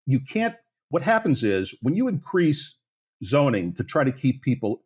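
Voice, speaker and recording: A man's voice: mid-range voice, big pitch swings, normal speed, a little mellow, breathy edge. Blunt, rambling man in his sixties. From United States, Pittsburgh area. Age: 60s. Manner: blunt, candid, rambling with frequent restarts. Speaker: interview guest. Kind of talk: podcast interview.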